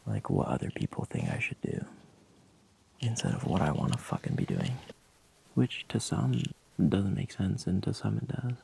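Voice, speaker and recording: A young man talking candidly to his camera in a loose, soft-voiced way, swearing often and laughing at himself. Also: deep voice, huge pitch swings, normal speed, creaky, slightly rough. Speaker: vlogger. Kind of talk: casual reflective talk to camera.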